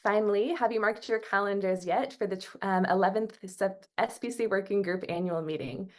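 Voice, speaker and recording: A woman's voice: medium pitch, moderate pace. Formal woman reading meeting announcements. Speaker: working group coordinator giving updates. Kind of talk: scripted announcements on a conference call. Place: online conference call.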